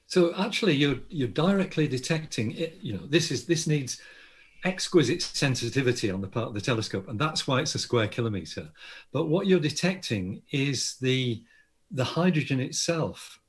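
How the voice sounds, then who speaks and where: An Australian man with a slightly husky voice, speaking as an astronomer guest in an interview. He explains at length and modestly, with a few quick laughs at his own expense. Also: mid-range voice, expressive. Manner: explanatory, self-deprecating, laughs often.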